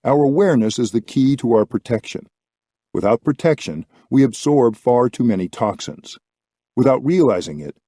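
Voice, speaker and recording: A man reading a reflective book as scripted narration, with measured, deliberate phrasing. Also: medium pitch, dark tone, no echo, clean studio sound. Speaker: audiobook reader.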